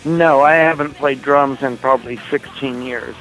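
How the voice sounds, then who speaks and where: A man of middling pitch, originally from Oklahoma, answering interview questions about himself. He speaks softly and reflectively, stopping to think, over faint music. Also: fairly even intonation, rough recording. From Oklahoma, USA. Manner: thoughtful and soft-spoken, pausing to recall. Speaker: guitarist being interviewed. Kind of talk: casual interview chat.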